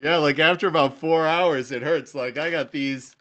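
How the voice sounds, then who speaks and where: A man appearing as a guest game designer on a livestream, chatting in an animated, rambling way with many fillers while his voice recovers from a long loss. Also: fairly high pitch, moderate pace, muffled recording. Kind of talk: casual livestream chat.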